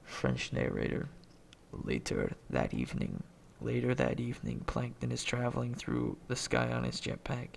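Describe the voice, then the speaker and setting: A man with a heavily creaky, gravelly voice reads a screenplay aloud. His pitch moves around in a lively way as he announces each character name and stage direction, and he stumbles over words like an amateur reader. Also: moderate pace, slight background noise. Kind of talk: reading a movie script aloud, stage directions and all lines.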